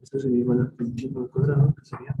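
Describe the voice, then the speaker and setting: Dark-voiced man tutoring math, echoey room. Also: mid-range voice, level tone, dull audio. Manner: thinks aloud, self-correcting, slow and patient. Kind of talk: live online tutoring, working problems step by step. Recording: echoey room, faint noise.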